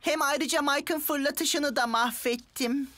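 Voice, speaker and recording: A woman's voice: sits high, slow pace, bright, forceful tone, dry recording, heavily acted. A woman voicing a mischievous, sheepish young boy in a children's cartoon dub. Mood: guilty, defensive.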